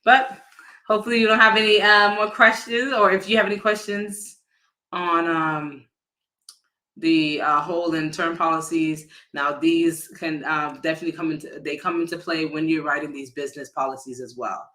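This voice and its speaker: A man working as an insurance agent, explaining policies casually on a livestream, often pausing with fillers and restarting his sentences. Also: fairly high pitch, fairly even intonation, bright, forceful tone. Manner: hesitant, many fillers and restarts; addresses viewers informally.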